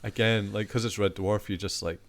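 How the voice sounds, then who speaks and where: A British man in his forties, a podcast guest chatting casually, animated and ironic, with some swearing. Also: on the low side, fairly quick. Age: 40s. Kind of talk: casual chat.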